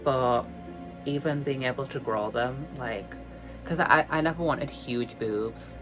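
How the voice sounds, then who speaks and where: A young woman from the UK with a light, high, girly voice, talking casually in a vlog, chatty and self-mocking, stretching words for emphasis. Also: measured pace, over music, poor audio. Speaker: vlogger.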